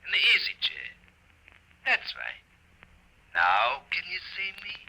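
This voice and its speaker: A man's voice: a little high, huge pitch swings, a little slow, gravelly, pushed and bright, heavily acted, low-quality recording. A man playing the chummy ghost of a disreputable old hobo, talking in folksy slang in a 1950s radio comedy. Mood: cheerful, chummy. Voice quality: odd, unearthly voice. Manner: folksy slangy tramp talk.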